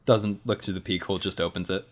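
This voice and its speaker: A man's voice: low voice, fairly even intonation, quick pace. A man playing a tabletop roleplaying game, improvising casually in slangy, laid-back wording.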